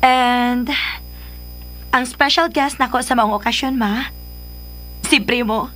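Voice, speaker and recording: An actress playing a pampered young daughter, sweet but willful, in a radio drama, with a Filipino accent. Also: female voice, on the high side, slow pace, loud music behind the voice. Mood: sweet, excited. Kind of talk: Philippine radio drama acting.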